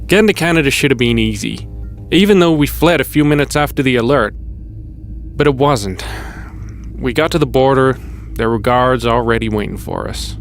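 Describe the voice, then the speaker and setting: An actor playing a wary older male survivor in an audio drama, gruff and folksy. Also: medium pitch, moderate pace, faint music behind, lightly acted. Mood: grim. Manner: folksy, plain-spoken. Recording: clear background noise.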